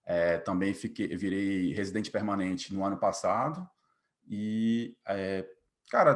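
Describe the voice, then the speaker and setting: A man in his late twenties with a Bahian Brazilian accent, telling his story informally as an interview guest, often adding little tag questions. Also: low-pitched. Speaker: interview guest, software developer. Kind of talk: casual interview, personal story.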